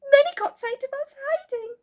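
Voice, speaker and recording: A woman voicing a tearful, fretful little fairy girl with a squeaky, wailing voice for a children's storybook. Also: fairly quick, a little mellow. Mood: tearful, confessing. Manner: sobbing, wailing delivery.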